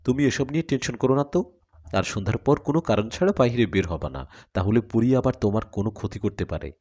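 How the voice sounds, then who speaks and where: A man's voice: fairly low pitch, expressive, fast talker, darkish tone. A man voicing a troubled young male student in a story, earnest and reassuring.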